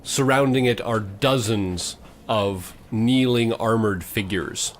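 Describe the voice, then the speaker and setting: A man hosting as game master, narrating a tabletop fantasy game in a halting, descriptive way. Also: lowish voice, slight background noise. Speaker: game master, podcast host.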